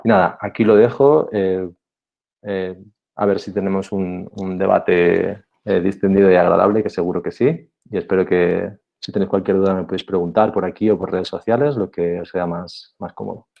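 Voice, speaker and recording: Guy giving a conference talk, low flat voice, kinda dull lo-fi sound. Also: vocal fry. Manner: measured, explanatory, with some hesitations. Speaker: panel speaker.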